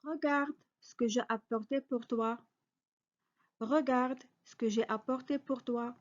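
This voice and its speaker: High-voiced teacher woman drilling phrases. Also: fairly even intonation, unhurried, dull audio. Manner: careful, clearly spoken model sentences, each repeated. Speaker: French teacher. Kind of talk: slow repeated phrase drills with quick translations.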